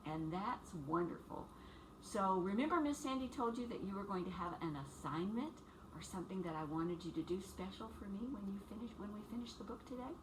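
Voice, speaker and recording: A woman teacher doing a read-aloud for young children, talking to them in simple, encouraging phrases and questions. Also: medium pitch. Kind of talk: read-aloud story time and instructions to small children. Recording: distant mic with clear background noise.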